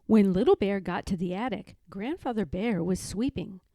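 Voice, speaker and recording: A woman reading a children's storybook aloud in a deliberate, expressive manner. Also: mid-range voice, no echo, clean studio sound. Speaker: storybook reader. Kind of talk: children's read-aloud narration.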